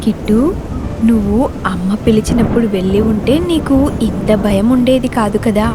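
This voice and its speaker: A woman's voice: mid-range voice, moderate pace, background noise, natural voice. A woman voicing a sensible, obedient young animal friend in a children's cartoon. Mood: earnest, advising.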